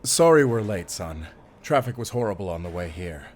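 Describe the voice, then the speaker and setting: A man's voice: low voice, very animated, normal speed, slight background noise. A man playing a courteous, genial father of a grown son in an audio drama. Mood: genial, concerned. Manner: polite, jovial.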